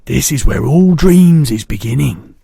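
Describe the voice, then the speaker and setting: A man voicing a big friendly male giant who speaks in jumbled grammar and cries out loudly when excited. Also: medium pitch, a little slow, gravelly, husky, no echo, studio quality, voice pushed up, full character voice. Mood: eager.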